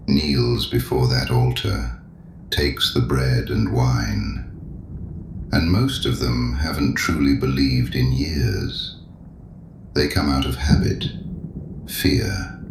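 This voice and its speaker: A man in an audio drama playing a sinister, ancient, knowing penitent, courteous and sardonic, with a very deep gravelly voice. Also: heavily acted. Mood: cynical, probing. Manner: deliberate, probing, sardonic, urbane. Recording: echoey room with audible background noise.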